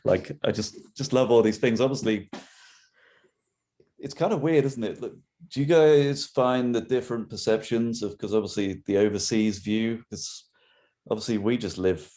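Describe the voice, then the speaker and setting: A man's voice: medium pitch, normal speed, dark tone. A man hosting a podcast, chatting casually and rambling a little, laughing easily. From England. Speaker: podcast host. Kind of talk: casual video-call interview.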